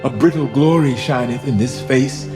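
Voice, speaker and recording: A man's voice: medium pitch, long reverb tail, music in the background, lightly acted. An actor playing a proud, bitterly ironic deposed king who mourns himself in theatrical Shakespearean verse for radio. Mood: anguished, brooding. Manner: theatrical verse delivery, musing, mocking pauses. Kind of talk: Shakespearean radio drama acting.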